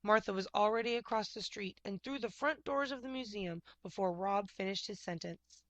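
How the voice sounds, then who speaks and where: A woman narrates a children's story expressively. Her voice is bright and clear-cut at a medium pitch, in a dry, close recording. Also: moderate pace, no room sound. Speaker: picture book reader.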